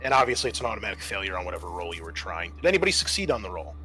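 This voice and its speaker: A man's voice: low voice, big pitch swings, racing, bright, forceful tone. A man acting as game master, casually explaining tabletop game rules. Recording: clear background noise.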